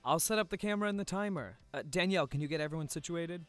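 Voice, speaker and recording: A man's voice: on the high side, rapid-fire, no room sound, faint music behind, close to an everyday voice. Casting: man as easygoing party photographer, lighter male voice, friendly, dry sound. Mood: relaxed, busy. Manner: casual, friendly. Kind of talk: audio roleplay acting.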